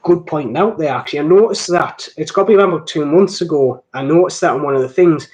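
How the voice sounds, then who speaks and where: A man's voice: fairly high pitch, fast talker, a little mellow. A man from north-east England with a Geordie accent, hosting a casual live stream in a rambling, jokey, self-deprecating way as he chats to viewers. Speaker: host of a watch channel live stream.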